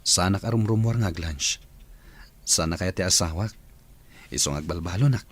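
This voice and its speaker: Low, husky, hesitant married man. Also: moderate pace. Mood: hesitant, guarded. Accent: Ilocano. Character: mild married man, hesitant, torn by temptation. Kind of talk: Filipino radio drama acting.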